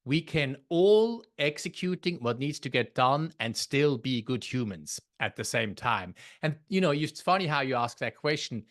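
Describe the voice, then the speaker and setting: A man's voice: medium pitch, very animated, moderate pace, hardly any pauses, a bit bright. A man with a Swiss accent, a podcast guest who explains his views in a thoughtful way and often restarts his phrases. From Switzerland. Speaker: podcast guest, leadership author. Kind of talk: conversational interview.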